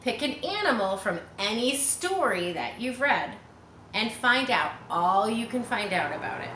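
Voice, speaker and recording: A woman doing a cheerful schoolteacher, lively and encouraging. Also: fairly high pitch, unhurried, roomy sound, distant mic, slight background noise.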